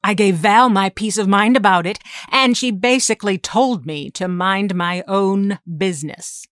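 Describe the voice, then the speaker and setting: A woman's voice: medium pitch, unhurried, no room sound, close to an everyday voice. Actress playing a commanding, defiant shapeshifter woman, dry close recording. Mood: cool, irritated. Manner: forceful, sharp-tongued, coldly calculating. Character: commanding, defiant shapeshifting mutant schemer posing as government doctor. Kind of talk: audio drama acting.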